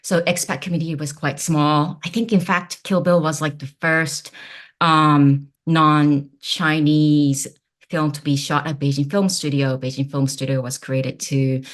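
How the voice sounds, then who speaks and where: A woman, talking casually and thoughtfully as an interview guest, with halting phrasing. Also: deep voice, flat delivery. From Japan. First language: Japanese. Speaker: film producer, podcast guest.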